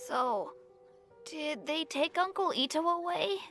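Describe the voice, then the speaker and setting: A woman's voice: high-pitched, expressive, takes it slowly, music in the background, heavily acted. A woman voicing an eager, innocent little boy in a video game. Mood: worried. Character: eager, innocent little boy who idolizes his uncle.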